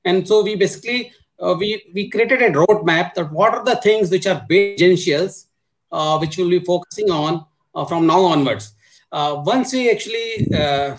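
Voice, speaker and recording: A middle-aged man with an Indian accent explaining business matters as a panelist on a video call, leaning on repeated filler words. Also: high-pitched. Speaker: panelist, supply chain executive. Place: indoor room on a video call.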